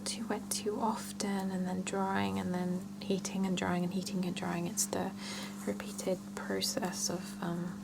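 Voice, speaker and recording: A woman whispering softly in an ASMR roleplay as a salon scalp therapist, chatting and explaining gently and without hurry. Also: on the low side, flat delivery, unhurried, few pauses, heavy background noise. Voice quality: soft breathy whisper with creaky edges.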